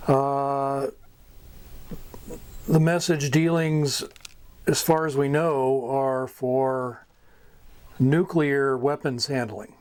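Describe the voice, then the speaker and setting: A man's voice: mid-range voice, flat delivery, drawn-out words, pauses often, darkish tone, clean tone. An American man giving an informal explanatory talk as a hobbyist teacher. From United States. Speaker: amateur radio hobbyist teaching beginners.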